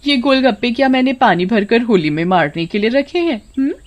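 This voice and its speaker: A woman's voice: fairly high pitch, lively intonation, brisk, close to an everyday voice. A woman voicing a friendly, teasing young female street vendor in a folksy, coy manner for a story.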